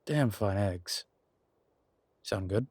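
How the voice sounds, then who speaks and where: A man playing a commanding male incubus demon investigator in an audio roleplay, terse and colloquial, with a low, husky voice. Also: expressive, extremely slow, darkish tone, no echo, full character voice. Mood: wry, friendly. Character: gruff, urgent male incubus demon investigator, protective, wryly friendly. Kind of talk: immersive audio roleplay acting.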